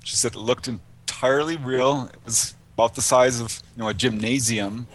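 A man's voice: medium pitch, fairly even intonation, normal speed. A middle-aged man speaking as an interview guest, casually recounting cases and anecdotes. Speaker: interview guest, UFO researcher and author.

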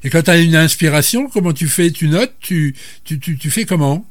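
A man with a fairly high voice hosts a radio show and interviews a guest. He sounds hesitant and stumbles over repeated words. The sound is dry and close. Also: animated, moderate pace, no echo.

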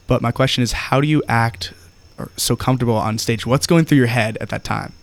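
A young man hosting an interview, asking halting questions with frequent restarts and laughter. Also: mid-range voice. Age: young adult. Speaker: interview host. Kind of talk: casual interview chat.

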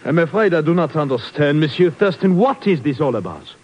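Courteous, officious old conductor, formal delivery, dull vintage radio sound. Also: male voice, fairly high pitch, animated, fairly quick, a little mellow. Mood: baffled, alarmed. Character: officious, courteous older French train conductor, male. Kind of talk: 1950s radio drama acting. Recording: muffled 1950s radio recording.

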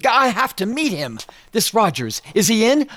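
An animated, higher-voiced American showman. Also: normal speed, exaggerated voice. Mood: eager, businesslike. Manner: breezy, genial, salesman-like. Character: eager, smooth-talking American showman and businessman. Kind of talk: radio drama acting.

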